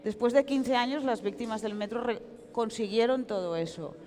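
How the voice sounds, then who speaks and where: A woman's voice: fairly high pitch, normal speed, a little mellow. A woman with a Valencian Spanish accent, frankly and emphatically telling an account as a journalist and author being interviewed in public. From Valencia, Spain. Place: large reverberant hall at comic convention. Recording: echoey hall with long reverb.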